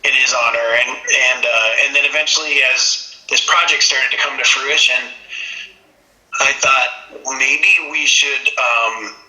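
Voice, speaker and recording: A man from Minnesota, a singer-songwriter guest, talking in a relaxed, reflective way about his work. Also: mid-range voice, unhurried. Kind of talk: casual interview. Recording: echoey room.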